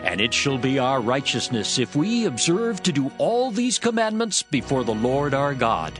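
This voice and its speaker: A man playing a devout father answering his son, in a solemn, measured recital for a dramatized scripture reading. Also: medium pitch, very animated, over music, lightly acted.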